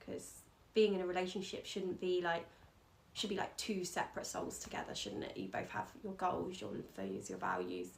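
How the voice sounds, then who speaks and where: Creaky-voiced woman in an echoey room. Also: on the low side, big pitch swings, moderate pace. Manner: informal, checks with tag questions. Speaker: astrologer, podcast guest. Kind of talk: casual advice-giving chat. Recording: roomy echo, faint background noise.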